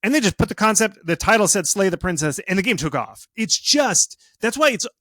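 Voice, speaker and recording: A man giving advice as a guest expert in a casual interview, speaking in an animated, informal, self-deprecating way. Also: high voice, fairly quick, no echo. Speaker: guest game marketing expert. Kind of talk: casual interview talk, explaining and advising.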